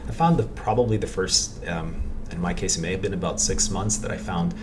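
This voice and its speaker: A man in his forties from Ukraine with a very low voice, speaking as a podcast guest. He talks reflectively, circling back and repeating words as he works out his thoughts. He is picked up from some distance, with steady noise behind him. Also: a little fast. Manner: reflective, thinks aloud, repeats words while searching for them. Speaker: guest, meditation practitioner. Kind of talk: casual podcast conversation. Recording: distant mic with clear background noise.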